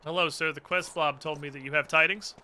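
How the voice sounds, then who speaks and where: A man's voice: on the high side, fairly even intonation, brisk, pushed and bright. A man doing casual gaming commentary in a sarcastic, mock-dramatic and profane way. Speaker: game streamer. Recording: music playing behind. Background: game music.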